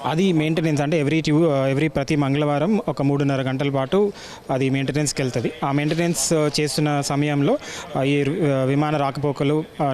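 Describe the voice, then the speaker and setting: A man with an Indian accent explaining technical work in an interview, speaking haltingly and mixing in English terms. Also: fairly high pitch, a little mellow. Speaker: air traffic controller explaining his work.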